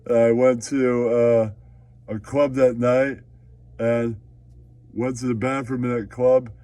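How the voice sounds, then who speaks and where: A man's voice: mid-range voice, flat delivery, takes it slowly, mellow, dry recording. A man performing a crude, improvised puppet-show monologue, rambling and repeating catchphrases.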